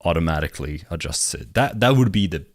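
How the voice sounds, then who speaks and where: A man's voice: low voice, lively intonation, fast, no echo. A man chatting casually with a friend, hesitant and full of restarts. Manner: hesitant, lots of fillers and restarts. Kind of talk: casual chat.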